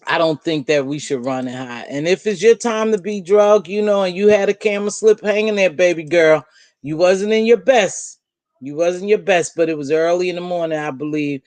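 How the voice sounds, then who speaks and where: A middle-aged woman with a deep voice, hosting a casual livestream from home and chatting bluntly with viewers, calling them baby and girl. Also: normal speed, darkish tone, no echo. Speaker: livestream host. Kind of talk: casual live chat, answering viewer comments.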